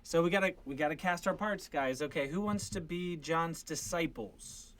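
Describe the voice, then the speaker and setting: A man's voice: fairly high pitch, normal speed, a bit bright. A man, a father casually coaching and encouraging his family through a Bible read-along. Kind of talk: casual family instruction and chat.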